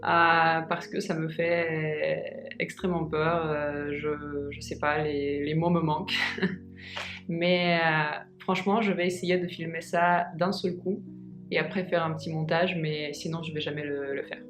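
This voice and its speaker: A woman in her twenties whose first language is Russian, talking in a casual vlog and pausing often with fillers and restarts. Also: sits low, little pitch movement, over music. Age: 20s. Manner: hesitant, many pauses and fillers. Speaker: vlogger. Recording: echoey room.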